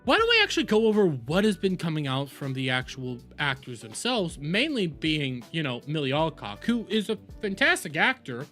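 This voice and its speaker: A man's voice: a little high, wildly swooping pitch, moderate pace, few pauses, sharp tone, soft background music. A man hosting a reaction livestream, giving opinionated commentary in an emphatic, ranting way and repeating phrases for stress.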